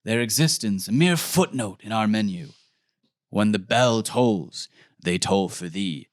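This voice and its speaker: A man's voice: on the low side, animated, a little slow. An ancient, skeletal waiter, performed by a man in a sketch comedy reading. He is solemn and ominously formal, announcing everything with portentous, old-world grandeur. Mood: grave, portentous. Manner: portentous, archaic formal speech. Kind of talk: comedy sketch table read.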